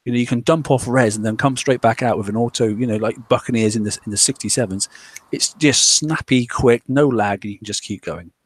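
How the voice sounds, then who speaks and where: A man's voice: mid-range voice, brisk, husky. A man hosting a casual discussion, rambling along with lots of fillers and restarts. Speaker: podcast host.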